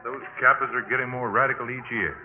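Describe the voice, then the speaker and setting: An actor playing a wry, teasing young Army veteran at college in a 1950s radio drama. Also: male voice, mid-range voice, steady tone, fast, noisy background, close to an everyday voice. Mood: dry, amused. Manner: dry, teasing. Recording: muffled 1950s radio broadcast.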